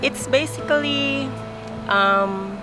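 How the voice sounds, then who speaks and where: A speaker in their forties with a Filipino accent gives calm, measured interview answers. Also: high voice. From Philippines. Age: 40s. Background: loud background music.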